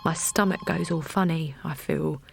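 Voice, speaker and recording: A woman's voice: low voice, moderate pace, vocal fry, a little hiss or hum. An actress playing a supportive, anxious woman in a naturalistic audio drama, speaking earnestly and plainly. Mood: anxious dread. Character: Linda, a supportive, anxious woman and loyal friend. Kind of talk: naturalistic audio drama acting, with dialogue and narration.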